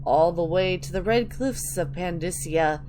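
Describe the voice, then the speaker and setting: A woman voicing an eerie, wistful old granny witch who rambles slowly in a dramatic reading of video game lore. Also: on the low side, warm tone, slight background noise, natural voice. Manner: slow, wistful rambling with drawn-out endearments.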